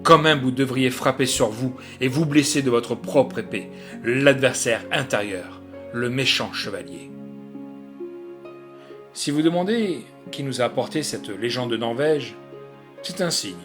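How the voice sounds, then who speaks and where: A man's voice: mid-range voice, lively intonation, measured pace. Dramatic male storyteller over guitar music. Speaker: audiobook narrator. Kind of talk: scripted literary narration.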